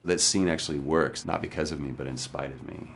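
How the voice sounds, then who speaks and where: Male actor-raconteur, extremely deep pitch, animated anecdote delivery with acted-out quotes. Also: a little fast, gravelly, slight background noise. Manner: animated storyteller, acts out quoted dialogue. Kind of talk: personal anecdote on stage.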